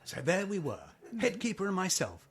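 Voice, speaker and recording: A man playing a gallant, bluff older gentleman in a radio play, with hearty old-fashioned talk. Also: sits high, wildly swooping pitch, brisk. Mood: indignant, chummy.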